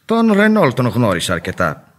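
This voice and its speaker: A pompous male professor with a very animated, swooping voice at medium pitch. He is pedantic and smug, in theatrical radio drama style. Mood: solemn, grandiose.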